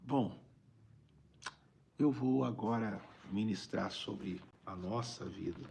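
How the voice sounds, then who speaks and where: A Brazilian man with a raspy, gravelly voice, a preacher talking conversationally on a live stream and repeating phrases for emphasis. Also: lowish voice, slow pace, darkish tone, a little hiss or hum. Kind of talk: informal livestream preaching, reading viewer greetings.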